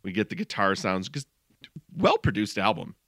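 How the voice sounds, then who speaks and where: A man's voice: lowish voice, sing-song, normal speed, fairly bright tone, dry recording, clean studio sound. A man co-hosting a music podcast, talking in a laid-back, musing way with goofy asides. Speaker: podcast co-host. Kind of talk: casual podcast chat.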